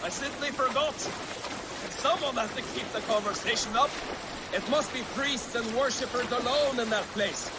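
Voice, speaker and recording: An actor playing a brash, bloodthirsty Viking raider in a video game, boastful and combative. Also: male voice, very high voice, moderate pace. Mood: eager, boastful. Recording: loud ambient noise behind.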